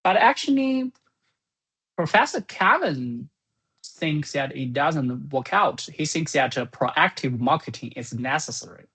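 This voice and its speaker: A software creator giving an informal spoken summary of business plans in a halting, think-aloud manner. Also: medium pitch, lively intonation, slightly rough.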